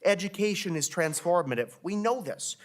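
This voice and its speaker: Young man, student leader, earnest speechlike delivery, hearing-room desk mic, somewhat high voice. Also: lively intonation, moderate pace. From Minnesota. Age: young adult. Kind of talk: prepared testimony at a hearing. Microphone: desk microphone.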